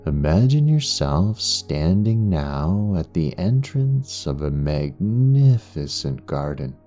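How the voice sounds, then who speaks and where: Male meditation guide: deep, extremely slow and soothing, with long pauses between phrases. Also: very animated, warm tone. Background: background music.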